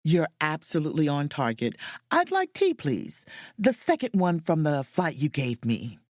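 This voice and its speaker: A woman's voice: sits low, wildly swooping pitch, normal speed, a little mellow, no echo, clean studio sound, exaggerated voice. An actress playing an inquisitive woman space officer in a romcom audio drama, speaking expressively. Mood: polite, curious. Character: woman space officer on holiday, keen amateur sleuth. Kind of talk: romcom audio drama dialogue and first-person narration.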